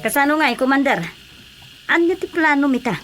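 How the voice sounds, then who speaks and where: A man's voice: very high voice, brisk, fairly bright tone, faint music behind. A man with an Ilocano accent playing an eager, scheming rebel henchman in a radio drama.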